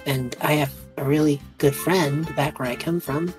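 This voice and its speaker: Woman playing tabletop rpg, low voice, casual and halting, kinda explaining as she goes. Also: fairly even intonation, over music. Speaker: tabletop game player. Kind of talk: casual in-character roleplay.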